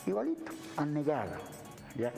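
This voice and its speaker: A man's voice: on the high side, wildly swooping pitch, normal speed, creaky, warm tone, long reverb tail, soft background music. A man with a Bolivian accent, a university lecturer explaining things didactically as an interview guest, punctuating his sentences with tag questions. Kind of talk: explanatory television interview talk.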